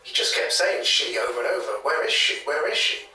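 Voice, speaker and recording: Hesitant British local man, chatty and hedging, slightly high bright voice, audio drama interview. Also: very animated, fairly quick, distant mic, exaggerated voice. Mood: uneasy, earnest. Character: hesitant, gossipy local man retelling a secondhand ghost story. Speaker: interviewee, former hotel worker. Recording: echoey taped interview, off mic.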